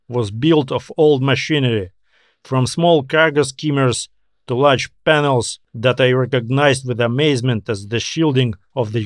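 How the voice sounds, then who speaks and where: A man's voice: medium pitch, no room sound. Male audiobook narrator, middle register, first-person noir storytelling, close and dry sound.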